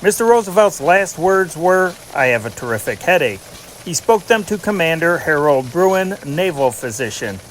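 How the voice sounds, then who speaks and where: A man's voice: a little high, a bit bright, slight background noise, rough recording. A man reading scripted news dispatches in a formal, declarative newscast manner, punching the datelines. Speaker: narrator reading old newspaper dispatches.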